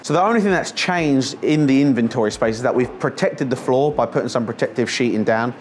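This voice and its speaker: A man in his thirties talks through his building project in an easy, chatty way. He sounds excited and jokey at a middling pitch. He is recorded on a camera mic in a bare warehouse. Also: a little fast, slight background noise. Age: 30s. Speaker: vlog host. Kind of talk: casual walkthrough vlog. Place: warehouse under construction, indoors and on roof.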